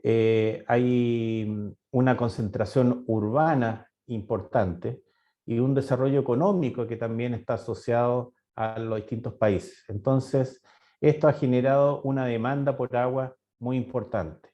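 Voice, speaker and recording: A man's voice: mid-range voice, fairly even intonation, a little slow, mellow. A middle-aged man from Chile giving a webinar talk as a university director, hesitant, with many fillers and restarts. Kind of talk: webinar presentation. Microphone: video call. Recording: dull lo-fi online call audio.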